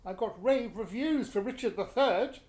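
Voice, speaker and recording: A man's voice: extremely high pitch, moderate pace, darkish tone. A man with a British accent playing an embittered, vain middle-aged actor husband in a radio play, scornful and exasperated. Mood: defensive, indignant. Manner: scornful, exasperated, self-justifying. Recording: echoey room.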